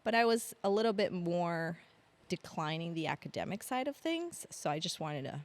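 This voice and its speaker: Low-voiced chatty woman guest. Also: lively intonation, moderate pace. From grew up in Argentina. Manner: chatty, laughs often, many ums. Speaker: podcast guest, Air National Guard staff sergeant. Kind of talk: casual interview storytelling.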